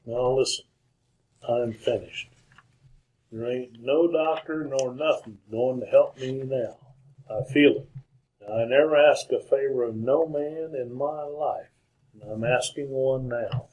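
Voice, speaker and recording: An actor playing a dying, wounded gunslinger in a radio Western, pleading in halting, folksy American Western speech. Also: male voice, medium pitch, darkish tone, dull audio, close to an everyday voice. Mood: weak, urgent. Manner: halting, folksy, repeats words. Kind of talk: old-time radio Western acting.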